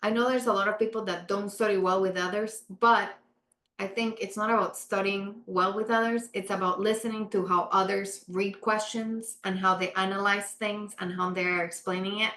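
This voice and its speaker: Woman from panama, middle pitch, earnest storyteller, echoey room. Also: steady tone, moderate pace, a bit bright. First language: Spanish. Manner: earnest, storytelling, emphatic repeats. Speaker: guest, architect. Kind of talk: casual interview chat.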